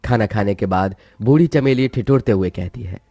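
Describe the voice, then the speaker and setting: A man's voice: fairly low pitch, moderate pace, warm tone, no echo. A man reading a scripted moral story as its narrator, with music behind him.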